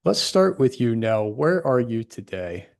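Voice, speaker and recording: A man's voice: medium pitch, sing-song, unhurried, gravelly. Guy hosting his podcast, rambly and loose, average voice. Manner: loose and rambling, many fillers. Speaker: podcast host. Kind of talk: casual podcast interview.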